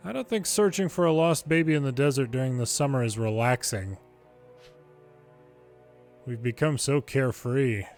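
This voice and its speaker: A man's voice: medium pitch, darkish tone. A man reading a video game's story dialogue aloud in English, as a longplay narrator.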